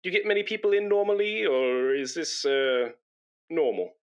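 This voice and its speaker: An actor playing a pompous, nervous British chatterbox in a comic radio play, rambling and stammering when flustered. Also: male voice, a little high, expressive, moderate pace, clear, resonant tone, lo-fi sound. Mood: chatty, flustered. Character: pompous, nervous, chattering British man come to give blood. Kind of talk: comic radio play acting before an audience.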